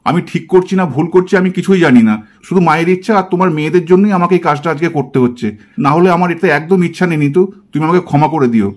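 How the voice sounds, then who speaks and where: Man as a rushed, remorseful reluctant bridegroom. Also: on the high side, darkish tone, natural voice. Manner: remorseful, pleading. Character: reluctant, apologetic bridegroom torn by family duty. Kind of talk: puppet doll cartoon dubbing.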